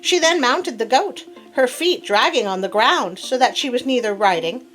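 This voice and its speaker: A woman telling a fable as host in an expressive, theatrical read-aloud style with playful asides. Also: a little high, moderate pace, low-quality recording. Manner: theatrical, animated. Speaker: storyteller host. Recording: classical music playing behind. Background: soft piano sonata.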